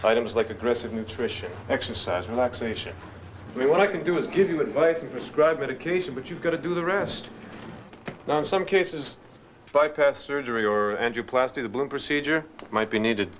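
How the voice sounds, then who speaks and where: An actor playing a frank, concerned male family doctor who gently nags, in a medical dramatization. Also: mid-range voice, a little fast, lightly acted. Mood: frank, advising. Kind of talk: acted scene in a medical dramatization. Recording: noisy room ambience.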